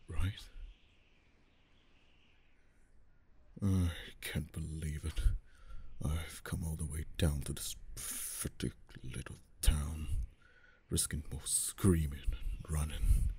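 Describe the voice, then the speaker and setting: A man's voice: a bass voice, darkish tone, a little hiss or hum. A man voicing a proud, anxious dragon in human form in an ASMR roleplay, with haughty, theatrical, brooding delivery. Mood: brooding, worried. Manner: theatrical, brooding muttering with haughty archaic phrasing.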